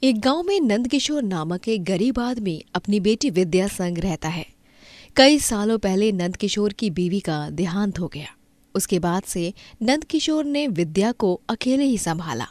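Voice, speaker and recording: A woman's voice: medium pitch, expressive, a little slow, a little mellow, no echo. Woman narrating a story, dry sound. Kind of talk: scripted narration of Hindi moral cartoon story.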